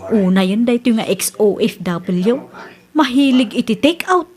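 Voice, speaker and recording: A woman's voice: on the high side, lively intonation, moderate pace, darkish tone, natural voice. A woman with an Ilocano accent playing a proud, gossipy village wife in a comedy radio drama. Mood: indignant, mocking.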